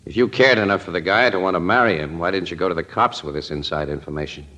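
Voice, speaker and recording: An actor playing a wry, skeptical, streetwise newspaper photographer in an old-time radio crime drama, with clipped, slangy wisecracks. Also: male voice, low voice, quick pace, pushed and bright. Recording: dull, lo-fi old radio recording.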